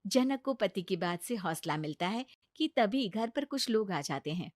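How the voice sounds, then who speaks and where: A woman's voice: medium pitch, expressive, normal speed, no echo, clean studio sound. A woman narrator reading a scripted Hindi story in a storytelling style.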